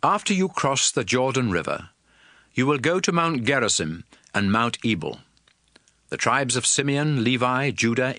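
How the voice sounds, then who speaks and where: A man's voice: medium pitch, bright, forceful tone, dry recording, studio quality. A man playing a commanding prophet and lawgiver in a dramatized scripture reading, solemn, emphatic and declamatory. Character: Moses, commanding male prophet and lawgiver addressing his people.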